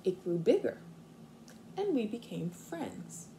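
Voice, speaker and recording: A young woman, a kindergarten teacher, reading aloud expressively and explaining things simply and deliberately to small children. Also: medium pitch, big pitch swings, warm tone. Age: young adult. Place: living room at home, indoors. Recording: faint room noise, home video.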